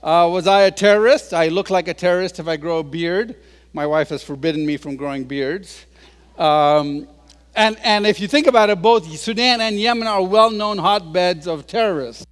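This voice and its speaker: A man in his sixties tells stories to a crowd with a joking, self-deprecating touch. His voice sits high for a man, and it rings out with the long reverb of a large hall. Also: normal speed. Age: 60s. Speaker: guest speaker. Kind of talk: humorous public talk.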